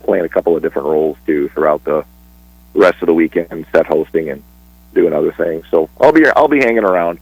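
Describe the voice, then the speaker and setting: A man talking casually on a radio sports show, chatty and a bit rambling, with restarts and quick laughs. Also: sits low, breathy edge, no echo, poor audio. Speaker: sports broadcaster guest.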